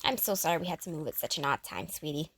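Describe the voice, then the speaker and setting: A woman's voice: deep voice, animated, quick pace, a bit bright, pitched below the performer's own voice. A woman playing an affectionate, encouraging mother in an amateur audio drama, tender and gushing. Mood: tender, proud.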